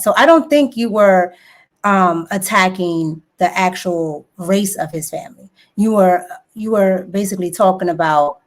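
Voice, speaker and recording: American woman host, slightly low voice, probing and thoughtful. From United States. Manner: thoughtful, probing questions with "right?" tags. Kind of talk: interview questions.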